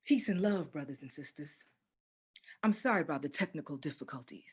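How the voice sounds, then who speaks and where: A woman playing a serene spiritual sister preaching hope in a measured poetic recitation, with a husky voice. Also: sits low, animated, heavily acted. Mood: serene, solemn.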